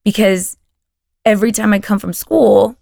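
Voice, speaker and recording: A woman's voice: mid-range voice, steady tone, moderate pace, creaky, dry recording, studio quality. A woman from Texas whose first language is Spanish, telling personal stories as a podcast guest in a casual chat with frequent restarts. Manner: warm storytelling with frequent restarts.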